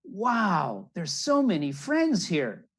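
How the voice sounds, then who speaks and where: A man's voice: high-pitched, wildly swooping pitch, slow pace, husky, pitched above the performer's own voice, heavily acted. A man voicing a wide-eyed, eager young red knot bird in a children's storybook, with simple exclamations. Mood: amazed.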